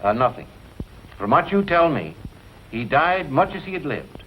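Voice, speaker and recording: An actor playing a courteous, talkative city attorney in an old film, speaking formally and precisely. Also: male voice, a little high, big pitch swings, normal speed. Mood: formal, regretful. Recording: muffled, noisy old film soundtrack.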